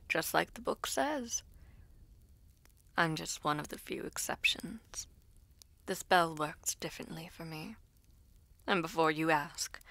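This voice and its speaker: A woman voices a mysterious female being, poised and secretive. She speaks slowly and formally, slipping into a breathy half-whisper with a coy, teasing edge. The audio roleplay sounds close and dry. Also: deep voice, sharp tone, dry recording, heavily acted. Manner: slow, composed, formal, coy. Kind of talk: audio roleplay acting, addressing the listener.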